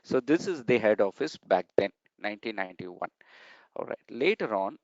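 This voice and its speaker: A man running an online sales training session, explaining step by step and often pausing with fillers and checks like "okay". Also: fairly low pitch, big pitch swings, no echo. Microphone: video call. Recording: dull, dry video call audio.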